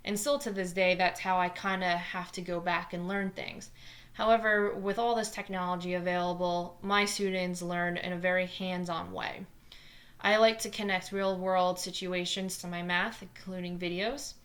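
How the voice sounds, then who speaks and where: A woman in her twenties with a New Jersey accent, a math teacher talking fast and earnestly through a scripted lesson video. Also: on the low side, little pitch movement, fairly bright tone. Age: 20s.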